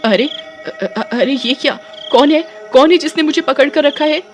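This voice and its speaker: Eager young village wife played by a woman narrator, coaxing and exclamatory, higher-pitched, for an animated storybook, music behind. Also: normal speed, natural voice. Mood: panicked. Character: eager, playful young wife, pleading and easily frightened. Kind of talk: animated Hindi storybook acting.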